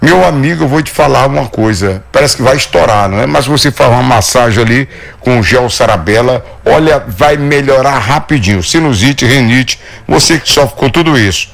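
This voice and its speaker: Male host, gravelly voice, folksy sing-song selling patter. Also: mid-range voice, lively intonation, normal speed. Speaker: show host. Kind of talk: live show sales pitch.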